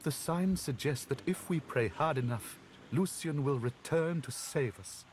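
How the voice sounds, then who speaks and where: A man playing a reverent, gossipy temple guide in a video game, measured and knowing. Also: on the high side, darkish tone, slight background noise, lightly acted. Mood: devout. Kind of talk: video game dialogue acting. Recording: game dialogue with music behind. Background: game music.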